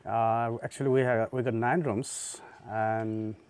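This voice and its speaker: A man in his thirties who owns a guesthouse, answering interview questions outdoors in a relaxed and halting way. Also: medium pitch, smooth. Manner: relaxed, halting, with many fillers. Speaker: guesthouse owner. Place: outdoor garden by a lake.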